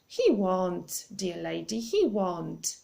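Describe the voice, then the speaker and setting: A woman's voice: lowish voice, big pitch swings, slow, vocal fry, dark tone, exaggerated voice. A woman voicing a shy, earnest older man in a storybook reading, talking in an anxious, earnest way. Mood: reassuring.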